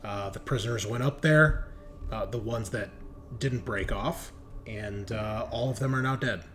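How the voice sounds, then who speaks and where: A man's voice: lowish voice, a little slow, fairly bright tone, slight background noise. A man running a tabletop roleplaying game as game master, talking casually and jokily.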